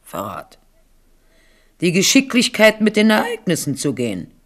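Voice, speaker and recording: A woman's voice: fairly low pitch, wildly swooping pitch, normal speed. An actress playing a scheming, cynical old queen mother in a radio play, condescending and calculating. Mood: sly, condescending. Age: older. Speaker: radio drama actor. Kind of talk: 1970s German radio play acting.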